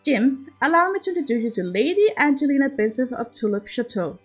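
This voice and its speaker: A woman's voice: high voice, sing-song, fairly quick, husky, soft background music, voice pushed up, heavily acted. A woman voicing a charming, worldly noble lady with formal, assured speech in a storybook reading.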